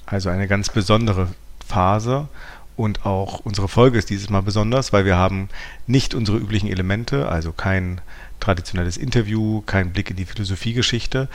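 A man's voice: fairly low pitch, moderate pace. A man co-hosting a philosophy podcast in a conversational, explanatory and reflective way. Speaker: podcast co-host.

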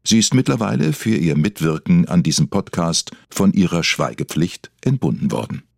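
A man with a husky voice reading scripted documentary narration in a formal, deadpan manner. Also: low voice, moderate pace, slight background noise.

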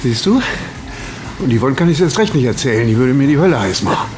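An actor playing a flustered, defensive 59-year-old editor, stammering and testy in naturalistic radio drama dialogue. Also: male voice, mid-range voice, sing-song, fast talker, over music, close to an everyday voice. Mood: earnest, smitten.

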